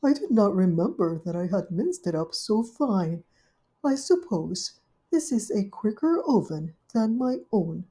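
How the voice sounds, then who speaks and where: A woman's voice: medium pitch, very animated, a little slow, mellow. A woman voicing an anxious, greedy little female dog guest in a storybook reading, eager and fussy.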